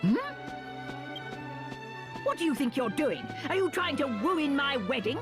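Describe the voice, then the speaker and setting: A man's voice: extremely high pitch, sing-song, a little slow, full character voice. A man voicing a spoiled, pompous young prince in a video game. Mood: indignant. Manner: pompous, whining. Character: spoiled, petulant young prince, haughty and accusing. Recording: music under the dialogue.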